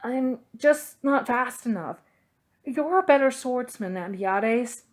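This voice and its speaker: A woman's voice: on the high side, animated, slow, pitched above the performer's own voice. A woman voicing a timid, earnest boy apprentice in an audiobook, pleading and apologetic. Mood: humble, dejected.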